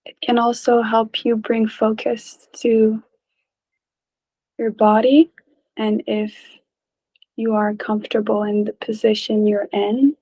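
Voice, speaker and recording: A woman leading a guided meditation in a gentle, calm, unhurried way, leaving long pauses between short phrases. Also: on the high side, poor audio. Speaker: meditation teacher.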